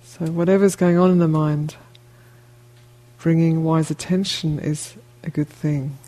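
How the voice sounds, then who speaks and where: A woman meditation teacher with a soft-edged, mellow voice and very little rise or fall. She speaks slowly and gently, leaving long pauses between short phrases as she guides the listener. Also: sits low, steady tone, low-quality recording.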